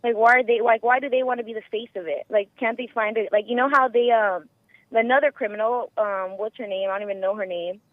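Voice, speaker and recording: A woman with a squeaky voice calling in by phone, chatting casually in a rambling way full of filler words. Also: fairly even intonation, fast talker, no room sound. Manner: rambling, frequent "like" and "you know". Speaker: caller. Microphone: phone call. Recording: muffled lo-fi telephone line.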